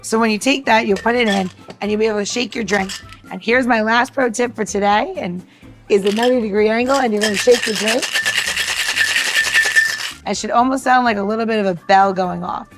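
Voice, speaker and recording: A woman's voice: on the high side, fairly quick, music in the background. A woman from the United States co-hosting a podcast with casual, chatty, blunt banter and practical how-to tips. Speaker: podcast co-host.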